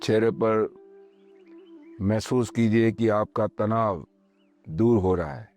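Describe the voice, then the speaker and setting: Male meditation guide, lower register, very slow calm delivery in short spaced phrases, music underneath. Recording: voice over background music.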